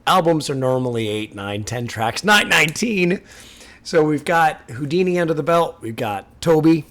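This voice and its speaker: Male reaction host, casual and chatty, slightly raspy from a lingering sore throat. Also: on the high side, animated, fairly bright tone. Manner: chatty, laughs often, pauses the track to comment.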